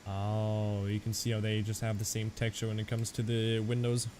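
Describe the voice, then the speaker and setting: A man's voice: fairly low pitch, monotone, normal speed, noisy background. Young man with a Canadian-German accent, streaming. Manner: chatty, reads chat aloud and answers viewers. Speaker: flight sim streamer. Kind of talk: casual live-stream commentary.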